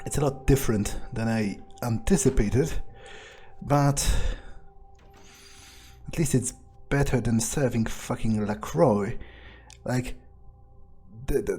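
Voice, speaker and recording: Jaded male vampire for a game monologue played by a man: low-pitched, mellow, sardonic, halting and foul-mouthed, music behind. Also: slightly rough. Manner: halting, muttering, profane, sardonic. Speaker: player of a role-playing video game. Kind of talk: in-character gameplay monologue, thinking aloud.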